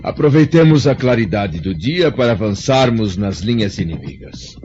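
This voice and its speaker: A man's voice: lowish voice, expressive, normal speed, darkish tone, highs cut off, faint music behind, natural voice. A man playing a proud, dignified older Roman senator in an audio drama, speaking in a formal, solemn way. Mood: resolute, solemn.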